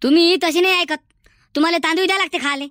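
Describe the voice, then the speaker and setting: A man voicing an exasperated village schoolteacher in a comedy cartoon, hectoring in a Varhadi Marathi accent through a pitched-up effect. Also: steady tone, fast talker, sharp tone, no echo, studio quality. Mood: threatening. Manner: hectoring, repetitive, threatening.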